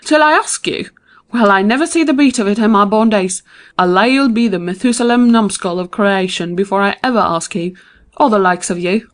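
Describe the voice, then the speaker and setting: A man's voice: high voice, a little fast, a bit bright, pitched above the performer's own voice. A man putting on a fussy, fiery old aunt. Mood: indignant. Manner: scolding, exclamatory, folksy. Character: fussy hot-tempered older farm aunt.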